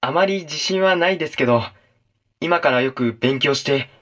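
Modest schoolboy interviewee, slightly high voice, stiff polite answers. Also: male voice, moderate pace, fairly bright tone. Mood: earnest, polite. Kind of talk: radio drama interview.

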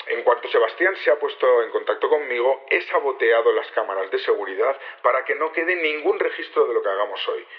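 An actor playing a calm, resolute leader of a secret plot in an audio drama, speaking formal, measured Castilian Spanish. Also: male voice, medium pitch, lightly acted. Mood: businesslike. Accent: Castilian Spanish from Spain. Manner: measured, formal, addressing a gathering. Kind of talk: Spanish audio fiction acting. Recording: muffled, band-limited radio-like recording.

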